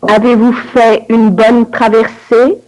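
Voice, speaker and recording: A woman reading scripted dialogue for a language course with clear, careful enunciation. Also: fairly high pitch, flat delivery, takes it slowly, a little mellow, poor audio.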